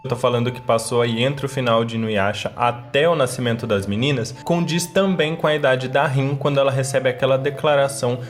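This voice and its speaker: A Brazilian man with a medium-pitched voice presents fan commentary as a channel host. He explains point by point in a didactic, emphatic manner, speaking directly to viewers. Faint music plays behind his voice. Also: steady tone, brisk, few pauses.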